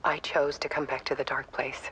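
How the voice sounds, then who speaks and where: A haunted artist woman in a game, confiding in someone dear. Her delivery is hushed and halting, often slipping to a near whisper, in a low-lying voice over faint ambience. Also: level tone, slight background noise, poor audio. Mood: tender, resolute. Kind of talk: intimate confessional game monologue.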